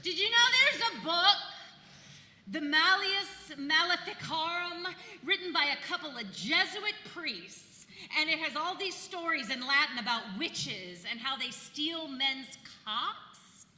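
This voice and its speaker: Woman acting brash high-voiced village housewife, echoey hall. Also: animated, measured pace, pushed and bright, full character voice. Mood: bawdy, teasing. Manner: mocking, irreverent, emphatic storytelling. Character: brash, bawdy, weary 17th-century village housewife accused of witchcraft. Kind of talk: dramatic staged play reading. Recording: echoey hall, distant, noisy room tone.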